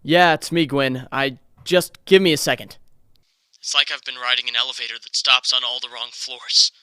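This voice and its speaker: An actor playing a tender, grieving young male superhero in a comic dub, speaking in an earnest, halting, reflective way. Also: medium pitch, fairly even intonation, pushed and bright, smooth, no echo, natural voice. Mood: awkward. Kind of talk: comic dub, dramatic monologue. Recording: music under the voice. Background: music.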